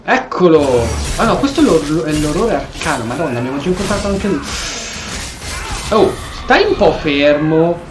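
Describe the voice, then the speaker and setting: A man's voice: fairly high pitch, very animated, normal speed. Echoey Italian man commentating on a game. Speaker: gaming streamer. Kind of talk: casual gameplay commentary. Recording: roomy echo, faint music behind.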